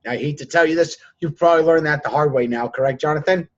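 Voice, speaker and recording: A hoarse man with laryngitis hosting a live group call, coaching students in a blunt, lecturing way. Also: on the high side, fast. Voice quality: husky, strained. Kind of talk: casual coaching chat. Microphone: online call. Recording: dull lo-fi sound.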